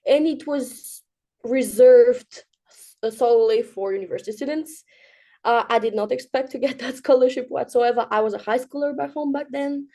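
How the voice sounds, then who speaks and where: A woman's voice: a little high, mellow. A woman in her twenties from Bulgaria, answering as a guest in a casual interview, chatty with many ums and light laughs. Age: 20s.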